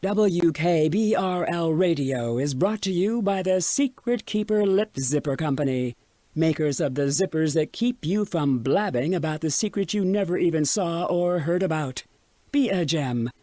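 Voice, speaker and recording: A woman's voice: low voice, expressive, a little slow, dark tone, no room sound. A woman playing a composed radio sponsor announcer, reading scripted station messages with tongue-in-cheek corporate polish.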